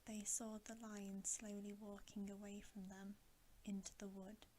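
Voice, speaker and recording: Woman, ASMR storybook reader, hushed whisper, unhurried, very even intonation, gentle. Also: medium pitch, slight background noise. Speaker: ASMR channel host reading a bedtime story.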